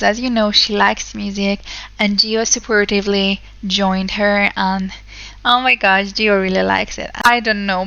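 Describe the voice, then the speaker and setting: Bright, chatty young woman gamer. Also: medium pitch, dull audio. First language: not English. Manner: chatty, rambling, many fillers. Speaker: let's play host. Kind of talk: casual gameplay commentary.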